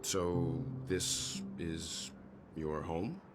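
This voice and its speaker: A man playing a calm, courteous middle-aged investigator in a video game, speaking in a thoughtful, formal, measured way. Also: low voice, music in the background. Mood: polite, curious.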